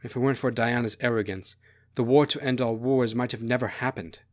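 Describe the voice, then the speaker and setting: A man voicing an obliging young princess who explains things quietly and reflectively in a story. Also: medium pitch, steady tone, fairly quick, natural voice. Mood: regretful.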